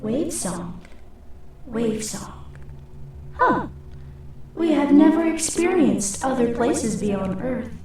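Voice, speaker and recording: A woman's voice: fairly high pitch, sing-song, takes it slowly, pauses often, heavily acted. A woman playing a curious, formal hive-mind creature of many insects in a radio drama, speaking as "we". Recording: echoing, distant voice with faint noise.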